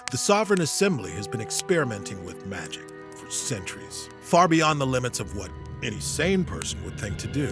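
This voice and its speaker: Casting: earnest war-weary hero commander, gravelly, widely expressive delivery, fantasy audio drama. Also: male voice, mid-range voice. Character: seasoned male hero knight commander, earnest and burdened. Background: dramatic background music.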